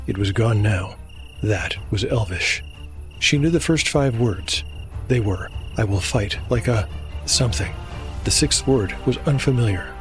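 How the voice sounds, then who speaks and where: A man narrates a scripted fantasy drama as its host. His delivery is measured and solemn, in a mid-range voice, with faint music underneath. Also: mid-range voice. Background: background music.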